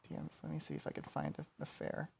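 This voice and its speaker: A man's voice: fairly high pitch, big pitch swings, fast, creaky, a little mellow, husky. A man chatting casually who often halts and stumbles over his words. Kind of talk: casual chat.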